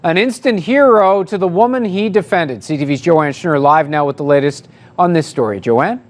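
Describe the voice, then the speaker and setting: A male news anchor with a notably high voice opens a story in a polished, formal broadcast manner. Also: moderate pace. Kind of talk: scripted news introduction.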